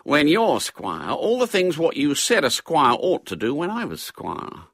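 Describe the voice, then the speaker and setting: A man's voice: a little high, huge pitch swings, a little slow, a bit bright, no room sound, studio quality, voice pushed up, exaggerated voice. A man voicing a reluctant young boy squire in a storybook, grumbling and naive.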